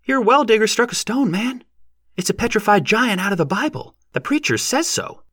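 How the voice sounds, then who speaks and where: A man voicing an excitable, earnest neighbor in an audiobook, insistent and folksy. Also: sits high, moderate pace, no room sound, studio quality, pitched above the performer's own voice, full character voice. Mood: excited explaining. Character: earnest, excitable male country neighbor in a crowd.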